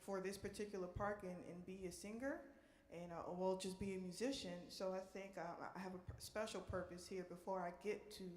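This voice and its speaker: A woman's voice: medium pitch, fairly even intonation, brisk, darkish tone. An American woman hosting a live question-and-answer session as a singer, talking casually to an audience. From New Orleans, USA. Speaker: singer and park ranger hosting a concert Q&A. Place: indoor museum performance space. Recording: echoey room, live event microphone.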